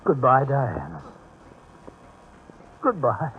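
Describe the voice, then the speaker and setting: An actor playing a tormented, deranged killer in a 1940s radio horror drama, intense and haunted. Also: male voice, mid-range voice, wildly swooping pitch, extremely slow, narrow, tinny audio, heavily acted. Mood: crazed confession. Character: tormented, deranged male killer mourning his love. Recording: thin, band-limited old radio broadcast recording.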